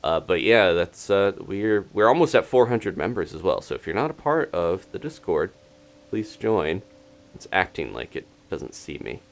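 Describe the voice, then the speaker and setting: Low-voiced man streaming a game. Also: very animated, moderate pace. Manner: rambling, thinking aloud. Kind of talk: live gameplay commentary with casual chat.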